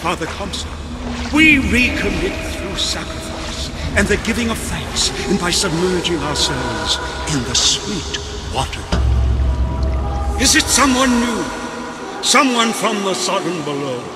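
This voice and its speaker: An actor playing an older, zealous cult preacher in a video game, declaiming theatrically as in a sermon. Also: male voice, high-pitched, sing-song, unhurried, over loud music. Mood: solemn fervour. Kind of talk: fervent sermon and baptism rite.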